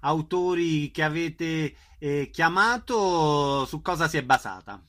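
A man's voice: on the high side, animated, takes it slowly, a bit bright. A man speaking as a radio host in a conversational interview.

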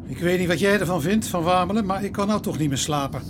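An actor playing an earnest, somewhat naive police inspector in a radio drama, formal and a little hesitant. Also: male voice, sits high, fast talker, noisy background, close to an everyday voice. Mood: incredulous, resolute. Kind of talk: naturalistic Dutch radio drama acting.

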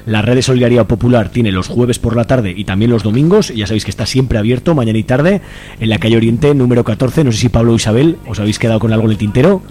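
Fast-talking Spanish radio host, a man. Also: mid-range voice, mellow, a little hiss or hum. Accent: Spanish, from Spain. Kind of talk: casual radio presenting.

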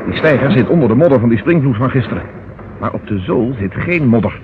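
A stern, businesslike police inspector played by a man in an old radio crime play, questioning briskly and with authority. The sound is narrow and tinny, with clear background noise. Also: medium pitch, expressive, lightly acted. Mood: deliberate, businesslike. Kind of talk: old Dutch radio crime drama acting. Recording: muffled, noisy old radio recording.

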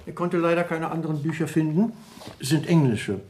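An actor playing a dry, wry manservant of a grand household in a radio play, deadpan and slightly cheeky. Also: male voice, fairly high pitch, fairly quick, lightly acted. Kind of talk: 1970s German radio play acting.

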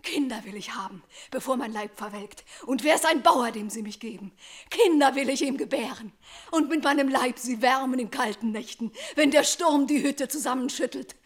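An actress playing a fearful, trapped young princess who longs for life, pleading breathlessly in a classical radio tragedy. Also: female voice, sits high, normal speed. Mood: desperate pleading. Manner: pleading, breathless, fearful, rising into desperate outcry. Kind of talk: classical German stage-tragedy radio acting.

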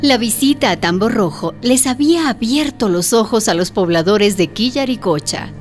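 A woman's voice: on the high side, expressive, normal speed. A woman reading scripted narration for a radio drama. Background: music.

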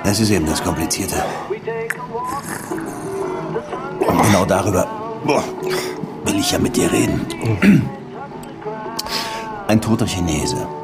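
An actor playing a world-weary, hard-drinking Havana police detective who narrates and talks laconically in a German radio drama. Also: male voice, sits low, normal speed, long pauses, over loud music, natural voice. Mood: confiding, weary.

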